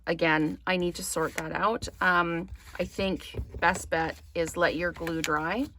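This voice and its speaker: A woman hosting a craft tutorial, slightly hoarse, chatting in a casual, apologetic way and thinking aloud with frequent ums. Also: fairly low pitch, fairly even intonation, measured pace, fairly bright tone, a little hiss or hum. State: slightly hoarse, losing her voice. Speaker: crafting channel host.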